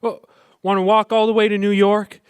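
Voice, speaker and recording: A man's voice: sits high, little pitch movement, normal speed, clear, resonant tone, no echo, clean studio sound, close to an everyday voice. An actor playing a harried small-town family man in an old-time radio drama, stammering, frustrated yet tender underneath. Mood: concerned, kindly.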